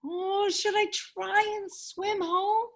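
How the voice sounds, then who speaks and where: Woman voicing a tiny squeaky, anxious boy mouse. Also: level tone, slow pace, fairly bright tone, full character voice. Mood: worried. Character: tiny anxious male mouse lost at sea.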